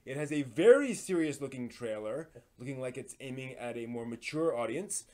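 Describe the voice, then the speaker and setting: A man presents news on a casual live stream. His voice sits at an average pitch for a man. He talks informally and a little haltingly, dropping in asides as he goes. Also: expressive, dark tone.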